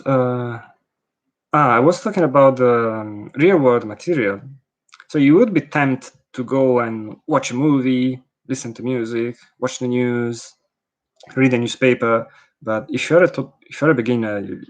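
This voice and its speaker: A man from Italy with an Italian accent, a language teacher answering viewer questions casually, thinking aloud with frequent pauses. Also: mid-range voice.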